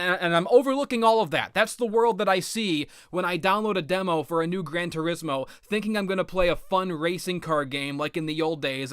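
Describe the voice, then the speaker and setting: A man with a fairly high, clean voice delivers a casual comic rant in a very dry, close recording. He sounds exasperated and swears freely. His talk rambles and doubles back on itself as he piles on the exaggeration. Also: a bit bright, no echo. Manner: hyperbolic, profane, exasperated; rambling, stammering repetitions. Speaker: video game commentator. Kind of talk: casual comedic rant about games.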